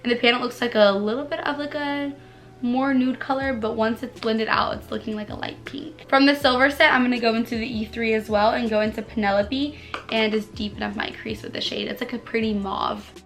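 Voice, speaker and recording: A young woman vlogger chatting bubbly and casually through a makeup tutorial, her voice a little hoarse from allergies, with lots of "like" fillers. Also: on the high side, fairly even intonation, a little fast, fairly bright tone, faint music behind. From United States. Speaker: beauty vlogger.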